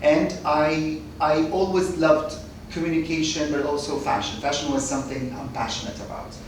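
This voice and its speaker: A man's voice: a little high, fairly even intonation, moderate pace. A man in his late thirties from Kuwait, guest on a podcast, telling his life story in a chatty way with many restarts and laughs. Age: 30s. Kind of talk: casual interview chat. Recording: echoey room, distant mic, noisy.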